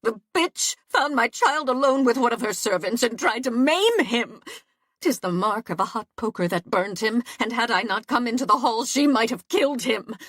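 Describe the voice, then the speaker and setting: Woman doing a shrill sobbing medieval mistress, pushy and demanding, high voice, dry sound. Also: lively intonation, moderate pace, fairly bright tone, dry recording. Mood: accusing. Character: shrill tearful scheming young mistress.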